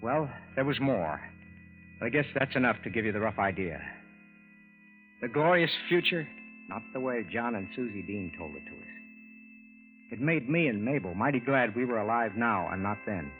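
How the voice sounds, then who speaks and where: A man's voice: medium pitch, animated, moderate pace, pauses often, lightly acted. A man acting a folksy, skeptical, bewildered American husband and narrator in an old radio drama, in a colloquial style. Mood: reflective narration. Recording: tinny vintage radio recording, music behind.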